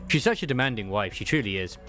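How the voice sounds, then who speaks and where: A man's voice: fairly low pitch, animated, quick pace, a bit bright. A man reading a visual novel game's story aloud and adding casual comments as a streamer. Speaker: streamer dubbing a game story. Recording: music behind the voice.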